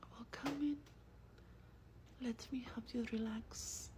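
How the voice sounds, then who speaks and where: A woman playing an affectionate vampire in a soft-spoken ASMR roleplay, with a breathy whisper and a tender, unhurried, intimate manner. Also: fairly high pitch, fairly even intonation, noisy background. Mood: tender, soothing. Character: affectionate female vampire soothing her human darling.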